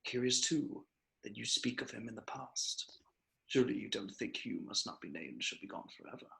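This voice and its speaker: A man acts out an aristocratic wizard villain in a table read. He is condescending and deliberate, with a creaky, gravelly edge and a bright, cutting tone. His politeness is cool and menacing. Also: lowish voice, lively intonation, exaggerated voice, low-quality recording. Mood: silky menace. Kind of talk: online script table read.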